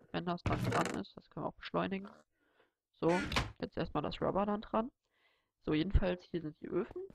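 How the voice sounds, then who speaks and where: A young man with a cold walks through a game build in a loose, thinking-aloud way. His voice is gravelly and slightly stuffy. It comes through a dull, lo-fi home microphone. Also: high voice, no room sound. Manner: relaxed, rambling, thinking aloud. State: has a cold. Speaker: Let's Play host. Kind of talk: casual gameplay tutorial commentary.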